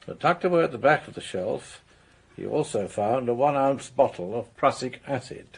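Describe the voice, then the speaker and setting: A man playing an authoritative senior police officer in a radio detective drama, formal and measured. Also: medium pitch, animated, vocal fry, breathy edge.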